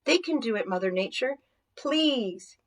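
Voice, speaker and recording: A woman's voice: on the high side, expressive, a little slow, pitched above the performer's own voice. A woman voicing a small, brave male woodland plant in a children's storybook, speaking earnestly. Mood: pleading.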